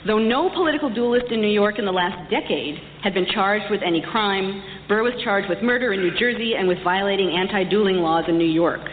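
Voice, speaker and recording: A woman giving a prepared public lecture, telling history in measured, emphatic and deliberate phrases. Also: medium pitch. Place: large reverberant hall. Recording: echoey hall, dull distant sound, faint noise.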